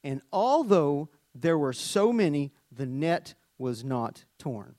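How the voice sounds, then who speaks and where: A man's voice: fairly high pitch, takes it slowly, dry recording, clean studio sound. A middle-aged man from Arkansas with a Southern US accent, a worship leader preaching a conversational sermon with joking asides and scripture reading. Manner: chatty, joking asides, animated storytelling.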